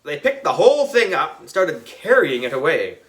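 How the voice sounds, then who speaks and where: A man reads a children's story aloud. His voice is bright and slightly high, with lots of swing in pitch. The delivery is playful and expressive. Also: moderate pace, poor audio. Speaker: audiobook reader. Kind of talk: animated children's story narration.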